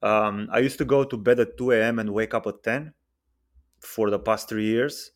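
A man in his twenties talks easily as a podcast guest, his voice sitting on the lower side. He pauses often with ums and uhs, unhurried and casual. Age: 20s. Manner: relaxed, hesitant with many fillers. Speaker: guest, freelance software engineer.

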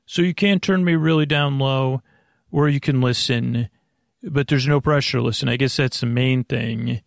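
An American man hosting a sleep podcast, talking in a meandering, hesitant, reassuring monologue with a gravelly voice. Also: medium pitch, steady tone, normal speed, no echo, clean studio sound. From United States. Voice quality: creaky, gravelly. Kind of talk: rambling casual monologue.

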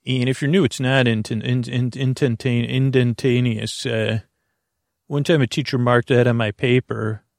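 A man with a creaky voice hosting a bedtime podcast in a slow, halting, soothing ramble full of tangents. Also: mid-range voice, little pitch movement, no echo, studio quality. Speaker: podcast host.

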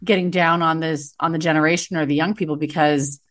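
A middle-aged woman speaks with a low, loud voice in a candid podcast conversation. She is blunt and emphatic and hammers her points home by repeating them. She sounds like a former teacher who has strong views. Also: fairly even intonation, brisk. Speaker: podcast guest, former teacher turned entrepreneur.

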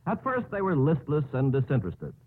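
A male announcer reads scripted narration in 1950s radio style. His voice is fairly high and husky, with hugely animated intonation. The recording is narrow and muffled. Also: brisk.

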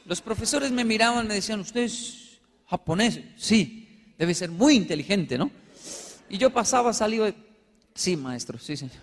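A man from Colombia with a Colombian accent, giving a humorous storytelling talk as a motivational speaker, acting out little dialogues in an animated manner. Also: sits high, moderate pace, long reverb tail. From Colombia, with Japanese background. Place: large hall with live audience.

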